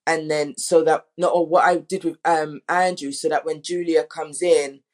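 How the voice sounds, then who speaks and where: A woman director in a casual panel talk, thinking aloud in a halting, conversational way. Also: low-pitched, fairly even intonation, a little mellow. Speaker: audio drama director.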